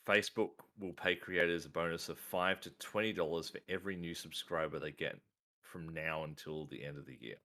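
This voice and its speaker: Low-voiced male host, halting news read full of fillers. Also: sharp tone. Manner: hesitant, many "uh" fillers. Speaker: podcast host reading news. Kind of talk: casual news roundup.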